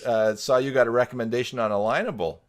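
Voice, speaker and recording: A man's voice: mid-range voice, fairly even intonation, quick pace. A man hosting a live stream in casual, teasing chat on a video call. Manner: joking, teasing.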